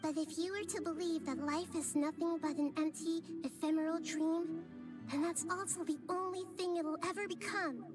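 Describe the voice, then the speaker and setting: A woman voicing a young-sounding goddess in a game, speaking softly, calmly and thoughtfully, wise beyond her years. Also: extremely high pitch, moderate pace. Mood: earnest. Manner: soft, calm and thoughtful speech. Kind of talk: game cutscene voice acting. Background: game music.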